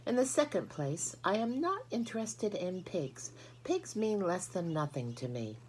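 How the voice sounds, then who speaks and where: A woman's voice: on the low side, big pitch swings, unhurried, darkish tone, a little hiss or hum, pitched above the performer's own voice. A woman voicing a haughty, dismissive young lamb in a storybook, snooty and curt. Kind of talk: storybook character voice.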